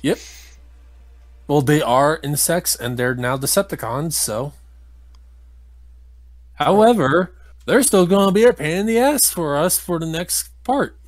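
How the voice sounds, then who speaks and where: A man's voice: a little high, animated, a little slow, long pauses, no echo. A man giving casual game commentary as he plays, grumbling and critical with some mild swearing. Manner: grumbling, critical, mildly profane. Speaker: let's play host playing the game.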